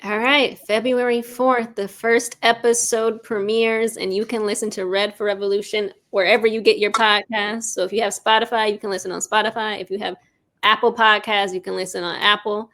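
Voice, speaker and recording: A woman's voice: mid-range voice, little pitch movement, normal speed, a bit bright. A woman, a guest on a show, giving casual promotional talk. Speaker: audio drama creator, show guest.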